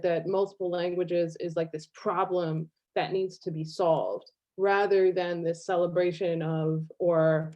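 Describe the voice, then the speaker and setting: Lively woman talking on a video call. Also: lowish voice. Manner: animated, laughs often, many fillers like "like". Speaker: guest artist. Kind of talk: casual online conversation. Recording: slightly dull video call audio.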